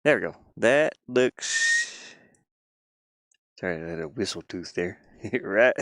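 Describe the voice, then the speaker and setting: A man with a fry-laden voice talks at an unhurried pace on a live stream. He rambles casually and mutters to himself while he works. The sound is close and dry, as from a streaming mic. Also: lowish voice, expressive, fairly bright tone, no room sound.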